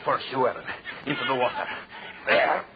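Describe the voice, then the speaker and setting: An actor in an old radio suspense drama playing a deranged, tormented, murderous anthropologist who talks obsessively to himself in a theatrical way. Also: male voice, medium pitch, animated, moderate pace, natural voice. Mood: manic, vengeful. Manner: theatrical, muttering to himself, repeating phrases obsessively. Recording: dull, noisy old radio recording.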